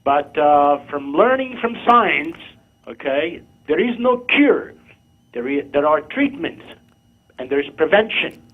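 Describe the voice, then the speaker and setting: A man's voice: on the high side, sing-song, takes it slowly, breathy edge. Moroccan man in his fifties on a phone line. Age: 50s. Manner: earnest, insistent, lectures in short phrases. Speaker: caller. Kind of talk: call-in discussion.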